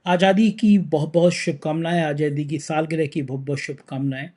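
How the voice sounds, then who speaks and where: A man's voice: fairly high pitch, steady tone, fairly quick, darkish tone. A man hosting a political news talk show, talking with a sore throat in a conversational, emphatic way.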